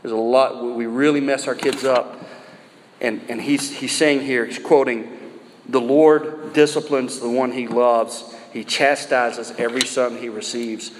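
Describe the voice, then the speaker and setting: Middle-aged American preacher in a reverberant hall. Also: male voice, medium pitch, steady tone, unhurried. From United States. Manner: emphatic, conversational, rhetorical questions and repetition for emphasis. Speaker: pastor preaching to a church. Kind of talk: sermon. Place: large indoor room, live sermon. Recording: roomy hall reverb, some background noise.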